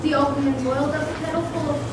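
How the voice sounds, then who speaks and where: A woman's voice: sits high, little pitch movement, rapid-fire. A teenage girl narrating and hosting a live school radio play in a playful storytelling manner. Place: school theater. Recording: roomy echo, far from the mic, noisy. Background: noise.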